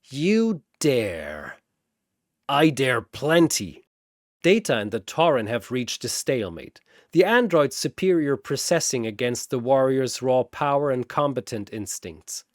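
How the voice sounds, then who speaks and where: A man's voice: medium pitch, animated, moderate pace, no echo, studio quality. A man reading a scripted battle story as a dramatic narrator.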